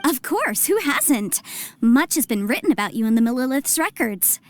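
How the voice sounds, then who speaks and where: A chirpy young lawyer from a fantasy game. Her high voice moves up and down with lively swings, and she delivers articulate, talkative patter like an eager professional. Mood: eager, chatty. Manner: brisk, articulate, self-promoting patter. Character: perky, talkative young female legal advisor. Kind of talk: scripted video game acting.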